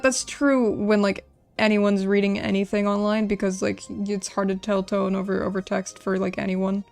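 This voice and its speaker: A woman with a noticeably creaky, fry-laden voice chats casually on a livestream. She rambles informally as she works, repeating little phrases while she talks to her chat. Light music plays quietly behind her. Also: medium pitch. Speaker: livestreamer drawing and designing game characters.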